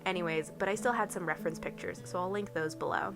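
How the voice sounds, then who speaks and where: A young woman with a slight cold talks through a relaxed home voiceover, rambling and full of small fillers. Her voice sits a little low for a woman. Clear background music plays beneath her the whole time. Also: quick pace. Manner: chatty, rambling, lots of ums and likes. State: has a cold. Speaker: bullet journal vlogger. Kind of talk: casual voiceover narration. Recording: soft background music under voice.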